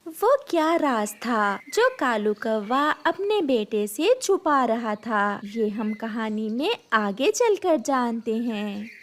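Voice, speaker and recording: A woman's voice: on the high side, very animated, slow pace. A woman narrating a scripted cartoon story, on a recording with some noise and clicks.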